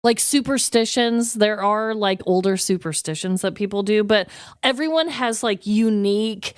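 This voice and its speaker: A woman's voice: mid-range voice, moderate pace, smooth, dry recording, studio quality. A woman co-hosting a comedic podcast in casual chat, reacting bluntly and with easy swearing. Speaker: podcast co-host.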